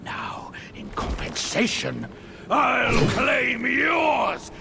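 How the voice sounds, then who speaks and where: An actor playing a savage, boastful male demon boss in a video game cutscene, with a gravelly, shouting voice. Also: very high voice, big pitch swings, drawn-out words, background noise, full character voice. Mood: vengeful. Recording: game audio over loud ambience.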